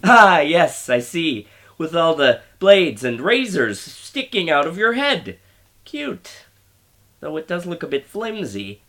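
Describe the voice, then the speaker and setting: A man's voice: fairly high pitch, big pitch swings, slow, pushed and bright, heavily acted. A man playing a grandiose, short-tempered mad scientist villain in a radio play, with theatrical delivery. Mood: mocking, vain.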